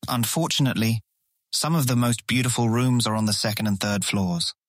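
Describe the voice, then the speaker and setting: An actor playing an earnest, awkward and easily charmed guild guard in a fantasy audio drama, eager to please. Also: male voice, medium pitch, a little fast, no echo, clean studio sound, close to an everyday voice. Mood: explanatory.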